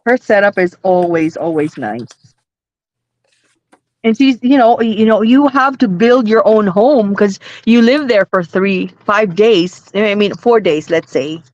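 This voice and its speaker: Lively-pitched woman on slightly dull audio. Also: measured pace. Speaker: co-host. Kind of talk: casual chat.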